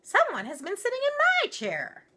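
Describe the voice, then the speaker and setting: A woman's voice: extremely high pitch, huge pitch swings, normal speed, sharp tone, voice pushed up, exaggerated voice. A woman voicing a middle-sized mama bear in a children's storybook, exclaiming in a fussy, indignant manner.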